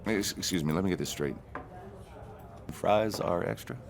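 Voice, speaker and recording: A man's voice: low voice, moderate pace. An actor in an audio drama playing a casual, penny-pinching man who is guarded beneath his folksy talk. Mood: grudging. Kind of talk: audio fiction drama acting.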